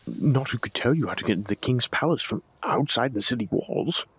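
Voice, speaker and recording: Man acting a husky, stammering, cowardly duke. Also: mid-range voice, expressive, brisk, a bit bright, dry recording, heavily acted. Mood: desperate bargaining. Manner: stammering, pleading, pompous. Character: cowardly, entitled male duke, pleading and indignant. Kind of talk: scripted villain roleplay audio drama.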